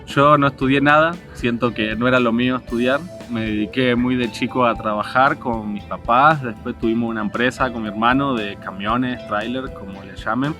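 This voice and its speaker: A man in his thirties from Argentina with an Argentine Spanish accent, chatting casually to camera as a travel vlogger in a plain, easygoing way. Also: mid-range voice. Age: 30s. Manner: easygoing, plain-spoken, mixes in local slang. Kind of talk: casual sit-down chat to camera. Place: seated indoors facing the camera. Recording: music bed under the voice.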